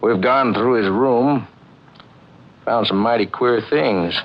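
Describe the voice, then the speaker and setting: An actor playing a polite, hesitant police detective in a 1940s radio drama, folksy and quietly probing. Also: male voice, mid-range voice. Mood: politely probing. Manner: hesitant, folksy, unhurried questioning. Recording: muffled old radio recording, faint noise.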